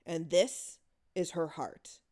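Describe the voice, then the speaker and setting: A woman's voice: low voice, slow pace, mellow. A woman voicing a sinister husband in a horror story, intoning a macabre game theatrically and with ritual care. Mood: ominous, theatrical. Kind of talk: dramatic horror story reading.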